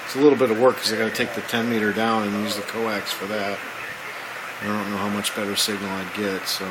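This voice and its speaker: Casual man talking over loud radio static. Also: lowish voice, little pitch movement, a little fast. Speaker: amateur radio hobbyist. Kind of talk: casual explanatory narration. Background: loud shortwave radio hiss.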